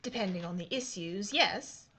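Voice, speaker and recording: A woman's voice: mid-range voice, normal speed, pushed and bright, slight background noise, natural voice. An actress playing a haughty, fearless aristocratic lady reporter in a period audio drama, with a British accent and a wry, formally articulate manner. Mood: cool, determined.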